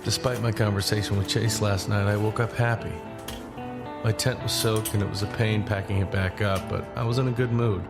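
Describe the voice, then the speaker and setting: A man playing a guarded, easygoing young hiker who narrates his own journey in a low-key, conversational way. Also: fairly even intonation, a little fast, natural voice. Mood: reflective. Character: John, easygoing but guarded young man hiking long-distance. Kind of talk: first-person audio drama narration and dialogue. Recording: music behind the voice.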